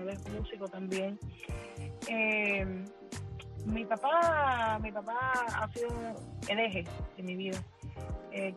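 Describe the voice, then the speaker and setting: A woman in her thirties, a musician whose first language is English, talking warmly in a radio interview and often repeating words as she finds them. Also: mid-range voice, low-quality recording. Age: 30s. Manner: warm, chatty, repeats words while finding them. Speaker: musician guest.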